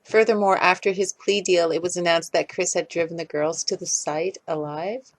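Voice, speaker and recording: A woman's voice: fairly low pitch, fairly even intonation, moderate pace. A woman giving critical commentary as a video creator's voice-over. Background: background music.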